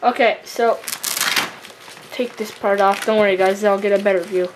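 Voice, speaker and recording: A young woman from Canada giving a casual, halting gear tour as a fishing vlogger, recorded at home on a camera microphone. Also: mid-range voice, steady tone. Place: room at home with wooden floor. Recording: noisy amateur camera recording, handling clicks.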